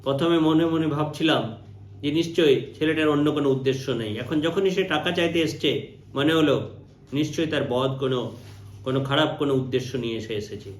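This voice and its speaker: Man, dramatic storyteller, higher-pitched voice, repeats for emphasis, roomy echo. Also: a little fast. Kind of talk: dramatic first-person storytelling for children. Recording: roomy, echoing sound.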